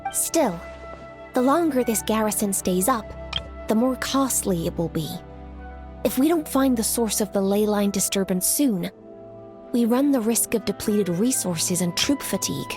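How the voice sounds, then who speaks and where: A woman's voice: mid-range voice, a little mellow, natural voice. Woman acting a composed, authoritative lady commander. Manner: measured, formal, businesslike. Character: composed, authoritative noblewoman commander, strategic and precise. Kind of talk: video game voice acting.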